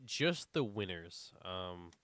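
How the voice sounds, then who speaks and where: A man's voice: deep voice, animated, slow, fairly bright tone, clean tone, dry recording. A man co-hosting a casual podcast, rambling with lots of fillers, a little congested. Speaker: podcast co-host. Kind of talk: casual chat.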